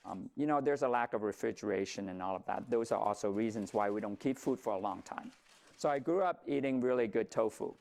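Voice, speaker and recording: A man's voice: fairly low pitch, animated, fairly quick, gravelly, a little mellow. A middle-aged man from Vietnam, a company founder, giving a conversational talk with light humor and occasional fillers. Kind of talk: presentation to an audience.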